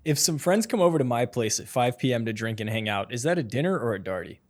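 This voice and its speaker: A man's voice: medium pitch, lively intonation, fairly quick. A man co-hosting a podcast, asking questions with dry joking asides. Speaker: podcast co-host. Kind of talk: casual podcast chat.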